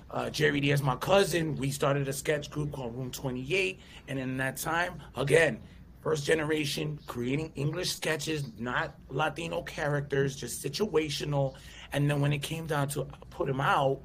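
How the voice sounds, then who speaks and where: A man in his thirties from the United States chats as an interview guest, telling stories and bouncing into the voices of the people he quotes. His voice has a gravelly edge and sits a little high for a man. A faint hiss runs underneath. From USA. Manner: animated storyteller, acts out voices and quotes. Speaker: guest comedian and actor. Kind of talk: casual interview chat.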